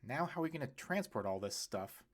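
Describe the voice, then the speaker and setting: A man's voice: medium pitch, big pitch swings, brisk. A man narrator voicing a fussy, exasperated middle-aged father.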